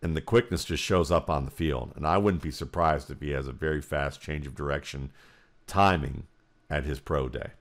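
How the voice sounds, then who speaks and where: A man with an American accent and a deep voice breaks down sports footage. He talks in an explanatory way, working out his analysis aloud as the play runs. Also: moderate pace. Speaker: football film analyst. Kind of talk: play-by-play film breakdown commentary.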